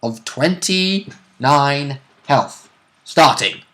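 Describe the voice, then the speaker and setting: A man with a clearly bright, mid-pitched voice co-hosts a tabletop gaming show. His delivery is casual and conversational, the sound of someone bantering about rules and match-ups. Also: expressive, drawn-out words. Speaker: co-host of a tabletop role-play show. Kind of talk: casual banter and game commentary.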